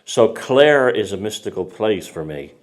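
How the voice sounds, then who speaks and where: A man's voice: on the low side, very animated, a little slow. An Irish man, an author, chatting casually and rambling, with many restarts and repeated words. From Ireland. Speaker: author and host. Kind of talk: casual conversation.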